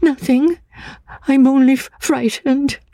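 A woman voicing a frightened, fragile young woman, timid and trembling, with halting, stammering speech and a whispery voice in a dramatic audiobook reading. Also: high voice, fairly even intonation, dark tone, no room sound, voice pushed up. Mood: frightened, faltering. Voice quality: breathy, whispery.

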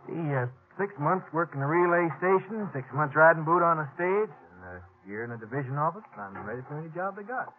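Earnest young cowboy played by a man, animated intonation. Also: fairly high pitch, fast talker, hardly any pauses, close to an everyday voice. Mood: easygoing. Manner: folksy, polite, drawn into explanations. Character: earnest, easygoing young stagecoach relay station hand. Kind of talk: 1950s radio western acting. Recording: tinny, hissy 1950s radio recording.

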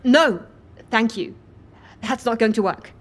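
A woman's voice: fairly high pitch, slow pace. An actress playing a curt, exasperated woman stranded as a customer, clipped and insistent, in a comedy audio drama.

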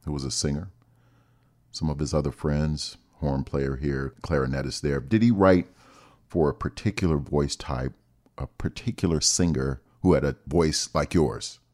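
A man's voice: a bass voice, fairly even intonation, moderate pace, a little mellow. A man from Ohio hosting a radio interview, asking guests questions. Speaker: radio host.

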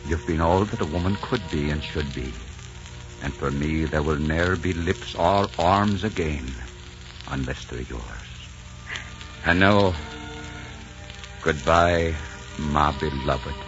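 Old radio romance: deep, theatrical nobleman lover, ardent, solemn, resolute, on a dull period recording. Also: takes it slowly, long pauses. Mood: tender farewell. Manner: theatrical, tender, solemn phrasing. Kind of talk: 1940s radio romantic drama acting. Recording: muffled 1940s radio recording with music.

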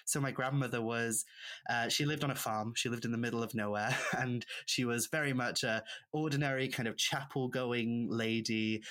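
A man's voice: mid-range voice, fairly even intonation, normal speed, fairly bright tone. A man from rural Wales with a Welsh accent, a native Welsh speaker, chatting and telling stories as an interview guest. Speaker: podcast guest, author and witchcraft practitioner. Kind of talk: conversational interview storytelling.

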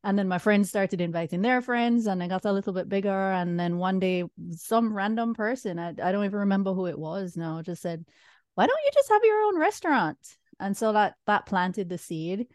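A woman from Jamaica with a Jamaican accent, chatting as a guest chef on a podcast, relaxed and quick to laugh. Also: medium pitch, dry recording, studio quality. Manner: relaxed, laughs easily, restarts phrases. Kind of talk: casual podcast chat.

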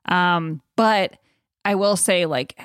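A woman's voice: lowish voice, slow pace, clean tone, no echo, studio quality. A woman co-hosting a podcast in casual conversation, with frequent self-correcting asides. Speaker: podcast co-host.

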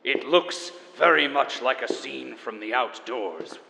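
An actor playing a grandiose, theatrical chronicler enduring after the apocalypse, pompous and melodramatic in an audio drama monologue. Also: male voice, medium pitch, a little slow, vocal fry, long reverb tail, background noise. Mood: wistful, grandiose. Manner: pompous, melodramatic, savoring each word.

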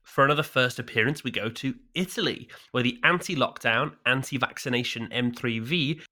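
Man, news host, scripted headlines, wry asides, bright voice, mid pitch. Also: brisk.

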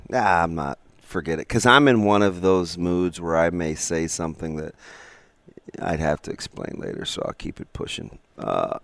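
A man's voice: sits low, dry recording. A man co-hosting a casual sports talk show, joking and jumping from one thought to the next. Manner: joking, animated, jumps between thoughts. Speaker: radio co-host. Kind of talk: casual chat.

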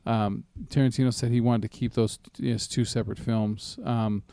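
A man's voice: mid-range voice, flat delivery, normal speed, warm tone, clean tone, dry recording, clean studio sound. A man co-hosting a casual film news chat, talking in an informal, profane, rambling way. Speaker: movie podcast co-host.

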